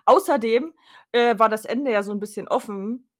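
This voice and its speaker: A woman's voice: on the high side, moderate pace. A woman co-hosting a podcast, musing aloud in casual, unscripted conversation. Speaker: podcast co-host. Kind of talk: casual chat about audio drama episodes.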